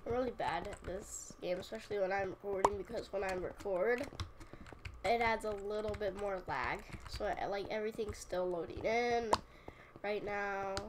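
A young woman with a sniffly cold, chatting casually and joking at herself while playing a game. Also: medium pitch. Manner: chatty, self-mocking, playful outbursts. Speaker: gaming channel host. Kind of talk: casual gameplay commentary. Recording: audible background noise and keyboard clicks.

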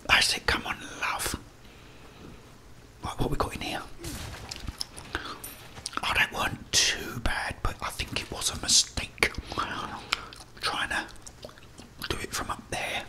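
A man's voice: slow pace, pauses often, pushed and bright, poor audio. A middle-aged man with an English accent whispering ASMR commentary as he plays a game, muttering reactions and talking to the characters. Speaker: gaming ASMR host.

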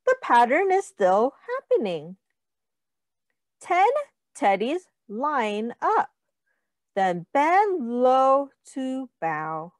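A woman teacher reading a picture book aloud to young children, deliberately and instructively, asking questions and counting along. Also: high voice, very animated, no echo, studio quality.

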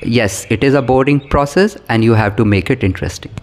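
A man in his thirties speaks with an Indian English accent, his first language Bhojpuri. He answers interview questions in a thoughtful way, pausing on fillers and restarting his sentences. He sits in a small room, heard through a camera set across from him. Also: medium pitch, a little hiss or hum. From Bihar, India. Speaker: English professor, interview guest. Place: small indoor room, seated on a sofa.

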